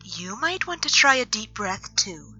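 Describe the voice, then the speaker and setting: A woman's voice: mid-range voice, very animated, measured pace, pushed and bright, heavily acted. A woman playing a serious, guarded elf nature mage in an audio drama, speaking in earnest, precise wording. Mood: gently insistent. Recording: slightly dull audio, music underneath. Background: music.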